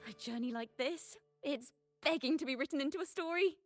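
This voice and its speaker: A woman's voice: sits high, fairly quick, natural voice. A woman acting an earnest, devoted young adventurer in a video game, speaking expressively. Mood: tender promise. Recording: voice over game music.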